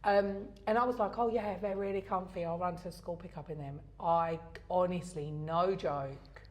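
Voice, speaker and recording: A woman's voice: on the low side, steady tone, normal speed, darkish tone. A middle-aged woman from England with a Southern English accent, co-hosting a podcast and telling stories in casual chat, with lots of fillers and restarts. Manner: chatty storyteller with frequent fillers and restarts. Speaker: podcast co-host.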